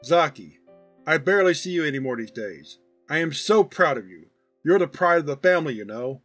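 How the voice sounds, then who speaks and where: A man's voice: on the high side, expressive, a little fast, a bit bright, faint music behind. A man voicing an affectionate, proud, teasing old grandfather in a fond, folksy, chatty manner for a story reading.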